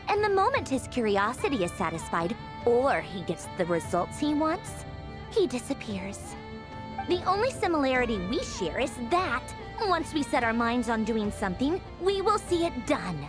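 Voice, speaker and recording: A woman playing a proud young female scientist villain in an anime game, haughty and theatrical. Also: fairly high pitch.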